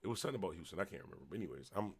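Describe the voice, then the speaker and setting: A man from Buffalo with a Western New York accent, a little tipsy, rambling loosely as he hosts a late-night livestream and shouts out viewers. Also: deep voice, lively intonation, rapid-fire, breathy edge. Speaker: livestream host. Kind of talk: casual livestream chat reading comments.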